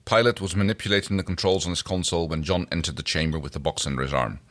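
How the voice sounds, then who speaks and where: A man's voice: low voice, fairly even intonation, racing, a bit bright. A man co-hosting a fan fiction reading, narrating informally with casual chat. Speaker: podcast co-host reading fan fiction aloud.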